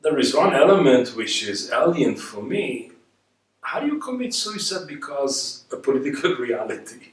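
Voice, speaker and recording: A man's voice: medium pitch, very animated, normal speed. Echoey, distant man with an Israeli accent. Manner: emphatic, rhetorical questions, builds points by repetition. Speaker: guest speaker. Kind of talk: reflective spoken lecture on history. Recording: echoey room, distant microphone.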